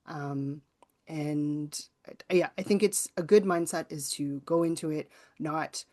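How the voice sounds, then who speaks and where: A woman's voice: deep voice, dark tone. A woman presenting at an online workshop, giving informal advice in a thoughtful, hesitant manner.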